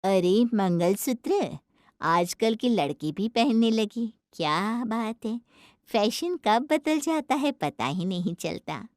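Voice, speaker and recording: A woman's voice: mid-range voice, expressive, takes it slowly, a little mellow, dry recording, studio quality. A woman voicing a nosy, mocking bus passenger with a sarcastic, drawling manner in a cartoon story. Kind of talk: dramatised domestic cartoon story.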